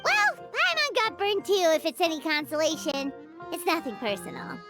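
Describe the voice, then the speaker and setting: An extremely high, childlike fairy companion from an anime-style game. The delivery is lively and cheeky, chattering in a squeaky voice. Loud music plays underneath. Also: female voice, moderate pace, full character voice. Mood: chatty. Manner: cheeky, talks of self in third person.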